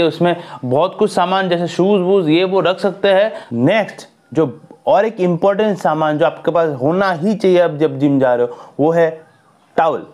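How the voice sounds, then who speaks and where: A young man in his twenties talking to camera on a lapel mic in a small indoor room, giving earnest, scolding fitness advice. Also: on the high side. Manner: earnest, lively hand gestures, scolding advice. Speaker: fitness vlogger. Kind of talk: casual talk to camera.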